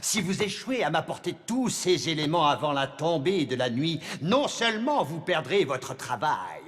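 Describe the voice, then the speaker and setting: A man's voice: a little high, very animated, moderate pace, a bit bright, breathy edge, heavily acted. A man voicing an unctuous, imperious royal adviser in a French cartoon dub, formal and pompous. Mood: imperious, menacing.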